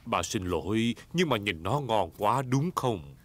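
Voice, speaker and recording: A man's voice: lowish voice, sing-song, a little slow, fairly bright tone, full character voice. A man voicing a jolly, good-natured father pig in a dubbed preschool cartoon, playful and jovial. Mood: sheepish.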